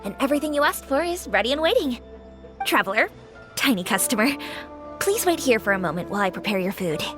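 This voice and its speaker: A woman's voice: a little high, very animated, quick pace. A woman voicing a friendly, talkative restaurant owner who welcomes guests, in game dialogue with music behind.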